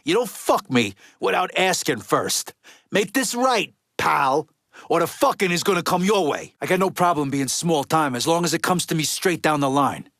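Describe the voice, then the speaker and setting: An actor playing a tough, foul-mouthed middle-aged Italian-American mobster in a video game, with an American East Coast accent and blunt street talk. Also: male voice, fairly high pitch, expressive, normal speed, fairly bright tone, dry recording, clean studio sound. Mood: angry, threatening. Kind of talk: video game character dialogue.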